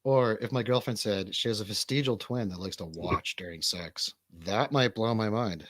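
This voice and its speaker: A man's voice: on the low side, normal speed, fairly bright tone, dry recording. An American man co-hosting a comedy radio show as a DJ, chatting casually in rambling, profane, joking banter.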